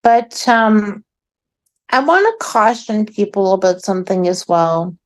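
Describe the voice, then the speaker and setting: A middle-aged woman, a guest in a casual chat, with a loud voice and a friendly, drawn-out way of talking. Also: mid-range voice, lively intonation.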